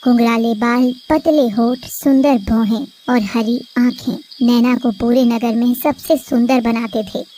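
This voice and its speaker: A woman narrating a cartoon story in an even storytelling manner. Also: on the high side, measured pace, few pauses, slight background noise.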